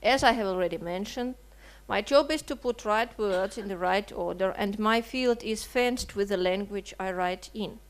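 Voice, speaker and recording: Woman reading a statement. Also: mid-range voice. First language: Russian. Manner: measured, earnest, with rhetorical questions. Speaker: writer and translator. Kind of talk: reading a prepared seminar statement. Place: seminar hall.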